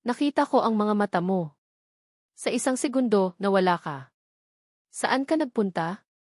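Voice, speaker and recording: A woman's voice: medium pitch, expressive, moderate pace, a little mellow, no echo, natural voice. A commanding elderly matriarch from a romance audio story, voiced by a woman narrator. She is suspicious and direct, and speaks in pointed orders and questions. The sound is close and dry. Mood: stern, probing. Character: stern, suspicious elderly rich matriarch grandmother.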